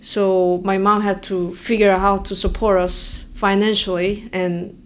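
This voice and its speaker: A woman's voice: mid-range voice, level tone, a little slow, a little hiss or hum. A woman from South Korea, a native Korean speaker, talking conversationally as an interview guest about herself. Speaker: interview guest, general surgeon. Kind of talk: conversational personal account.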